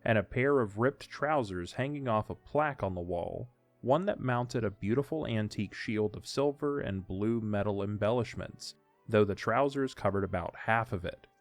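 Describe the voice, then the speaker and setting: A man's voice: fairly low pitch, normal speed, no room sound, faint music behind. A man reading scripted story narration for an audio drama.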